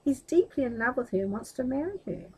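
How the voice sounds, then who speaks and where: A woman's voice: sits high, expressive, quick pace, husky, voice pushed up, low-quality recording. A woman voicing a humble, anxious, devoted mother in a storybook tale. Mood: timid pleading.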